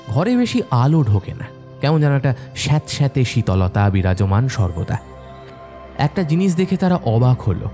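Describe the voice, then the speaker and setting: Mellow male horror story narrator. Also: mid-range voice. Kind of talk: scripted dramatic narration. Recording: soft music bed behind narration.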